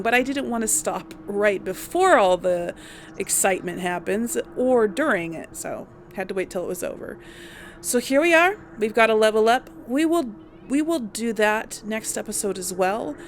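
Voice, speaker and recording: A woman hosting a gaming video with informal, chatty let's play commentary. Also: mid-range voice. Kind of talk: casual let's play commentary with role-played dialogue.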